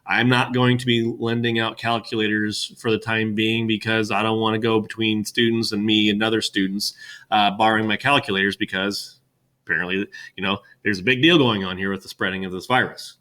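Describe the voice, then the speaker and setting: A middle-aged male teacher with a loud, boisterous classroom voice, talking casually and rambling with fillers, recorded on a webcam in an empty classroom. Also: on the low side, level tone, a little fast. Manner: rambling, chatty with many fillers. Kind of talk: casual classroom welcome talk.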